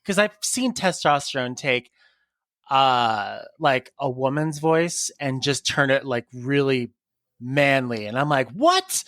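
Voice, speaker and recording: A man co-hosting a casual interview podcast, chatty and quick to laugh. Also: medium pitch, dry recording, clean studio sound. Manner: laughs often, chatty and animated. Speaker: podcast co-host.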